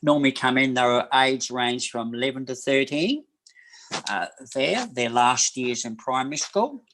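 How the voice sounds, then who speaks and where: A man in his sixties from New Zealand, a massage therapist telling his life story in an interview, rambling and halting with many fillers and restarts. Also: medium pitch, lively intonation, a bit bright. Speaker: guest, massage therapist and personal trainer. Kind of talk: casual interview talk.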